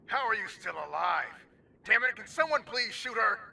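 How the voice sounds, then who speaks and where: A man playing an enraged, panicky military villain barking orders in a strained, gravelly shout in a radio drama. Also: high-pitched, animated, normal speed, a little hiss or hum, heavily acted. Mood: furious.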